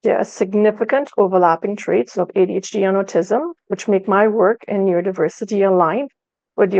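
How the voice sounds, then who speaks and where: A woman from Canada giving a measured, formal introduction on a panel. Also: medium pitch, creaky, a little mellow, no room sound, dull audio.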